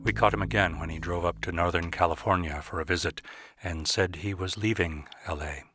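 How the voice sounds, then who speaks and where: A man asking questions and narrating a documentary in a calm, inquiring way. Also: sits low, a little fast. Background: guitar music.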